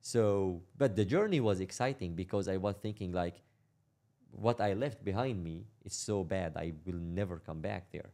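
Low-voiced young Syrian man. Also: dark tone. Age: young adult. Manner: halting, repeats words, laughs while telling hard stories. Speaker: interview guest. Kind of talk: casual interview storytelling.

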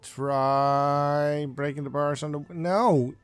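A man's voice: a little high, steady tone, slow pace, clear, resonant tone, faint music behind. A man doing casual let's play commentary, reacting and reading game dialogue aloud.

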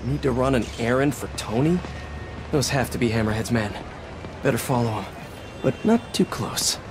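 An actor playing a quippy young American superhero who mutters wryly to himself in a video game. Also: male voice, mid-range voice, normal speed, over music, close to an everyday voice. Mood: focused, quippy. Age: young adult. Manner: wry self-talk. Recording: clear city street noise behind.

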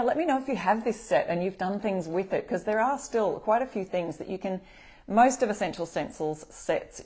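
A woman's voice: medium pitch, darkish tone. Chatty Australian woman hosting a craft live. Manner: chatty, greeting viewers by name. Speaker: craft demo host. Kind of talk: live-streamed craft tutorial. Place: bright indoor room at a craft table.

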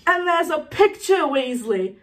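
A woman's voice: high-pitched, big pitch swings, distant mic, pitched above the performer's own voice. A woman voicing a spiteful teenage schoolboy bully from a storybook, taunting with a mocking sneer. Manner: taunting, drawn-out mockery.

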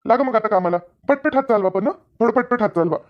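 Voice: medium pitch, lively intonation, quick pace, muffled recording, lightly acted. A performer voicing a practical, good-natured male farm owner who nags his workers in a comedy cartoon, with a Maharashtrian accent and colloquial delivery. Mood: nagging. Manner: colloquial, nagging, instructing. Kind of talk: Marathi comedy cartoon dialogue.

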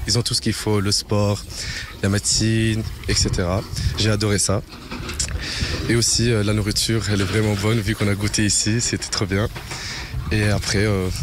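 A man's voice: on the low side, background noise. A young man, a student giving a simple interview outdoors. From Belgium. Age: young adult. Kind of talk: on-camera interview. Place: outdoors in a garden courtyard. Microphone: handheld interview microphone.

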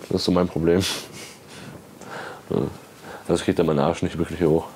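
A man's voice: low voice, little pitch movement, normal speed, dark tone, a little hiss or hum. A man of twenty-one talking casually in a documentary interview, plain-spoken and laid-back. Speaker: documentary participant.

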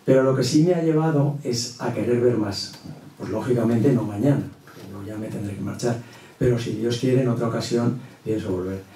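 Echoey, mellow middle-aged Castilian man lecturing. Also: mid-range voice, a little fast, a little hiss or hum. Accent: Castilian Spanish. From Zaragoza, Spain. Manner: conversational, frequent tag questions. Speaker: university professor, guest lecturer. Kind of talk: informal opening of a public lecture. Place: lecture room at a table. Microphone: gooseneck table mic, distant pickup. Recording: echoey hall, distant microphone.

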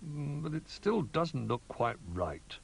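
A man's voice: fairly high pitch, sing-song, moderate pace, pitched above the performer's own voice. A man voicing a fussy, practical, doubtful toy duck in a children's storybook. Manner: fussy, muttering.

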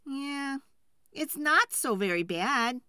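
A woman's voice: high voice, animated, slow pace, pushed and bright, dry recording, studio quality, voice pushed up, heavily acted. A woman voicing a meek, apologetic male woodcutter in a children's folk tale, hesitant and pleading. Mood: hopeful, coaxing. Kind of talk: storybook character voice.

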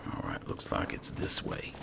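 A man with a very deep, gravelly voice giving casual, unhurried roleplay commentary as he plays a video game. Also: noisy background. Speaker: gaming channel host, player.

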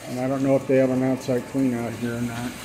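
A man's voice: medium pitch, fast talker, noisy background. A man working as a plumber, talking casually through a drain job with lots of fillers. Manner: relaxed, explanatory, trails off with fillers. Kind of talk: casual how-to commentary while working. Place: basement utility area, indoors.

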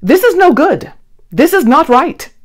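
Woman putting on a high, fretful storybook fellow. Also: big pitch swings, a little slow. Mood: fretful complaining. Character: fretful, complaining storybook fellow unhappy with his bed.

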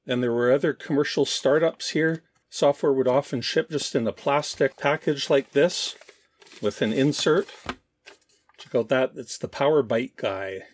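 A middle-aged man giving an informal show-and-tell narration as a host, explaining things in a measured way. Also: mid-range voice, darkish tone, no echo. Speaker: host of a retro computing show.

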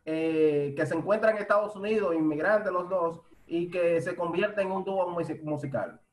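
A man's voice: high-pitched, moderate pace. A middle-aged man hosting an interview over a video call from a room at home, often restarting his questions. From Dominican Republic. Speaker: host.

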